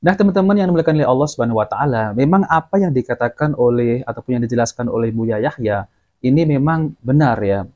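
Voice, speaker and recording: A man's voice: medium pitch, lively intonation, fast, a little mellow, lo-fi sound. A man hosting a religious commentary, addressing his viewers directly and explaining in a didactic way. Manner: didactic, repeats and explains clips. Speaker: channel host and commentator.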